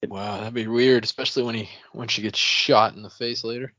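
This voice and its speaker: A man's voice: mid-range voice, steady tone, brisk, sharp tone, dry recording. A man chats casually as a podcast co-host. His voice is in a middle range. The recording is dry and slightly dull. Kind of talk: casual chat.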